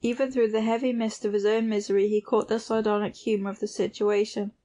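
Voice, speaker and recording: Female narrator with even, restrained intonation. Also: medium pitch, brisk. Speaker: audiobook narrator. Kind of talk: literary scripted narration.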